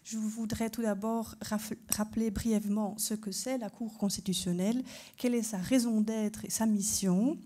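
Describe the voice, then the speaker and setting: A woman from Belgium, a native German speaker, gives a formal conference lecture as a constitutional court judge. Her voice is mellow and warm, and she sits at an ordinary pitch for a woman. She sets out her points in an orderly way and often asks a question before answering it. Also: fairly even intonation, moderate pace. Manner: structured explanatory delivery, poses rhetorical questions.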